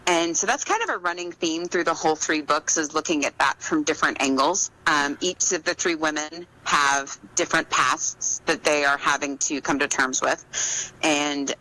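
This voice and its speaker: A woman's voice: sits low, sharp tone, rough recording. A woman being interviewed as an author, talking casually and reflectively, in an earnest, explanatory way.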